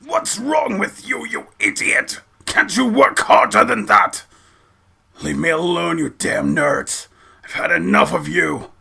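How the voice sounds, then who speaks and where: Angry, anguished young man acted by a man for an amateur demo, husky and rasping, with a forceful edge. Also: sits high, lively intonation, takes it slowly, pitched above the performer's own voice, full character voice. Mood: angry, scornful. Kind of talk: melodramatic voice acting demo.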